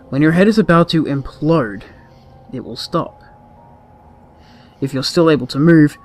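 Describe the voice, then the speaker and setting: A man reading a scripted horror story aloud in a deliberate, ominous, dramatic manner. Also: medium pitch. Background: background music.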